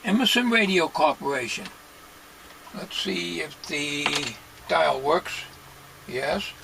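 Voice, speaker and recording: An older man talking casually as he works at a bench in a home workshop, plain-spoken and musing, with halting delivery. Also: fairly high pitch, animated, gravelly. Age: 60s or older. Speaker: hobbyist radio restorer. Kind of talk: casual talking while working. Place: cluttered home electronics workshop, indoors. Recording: noisy home workshop recording.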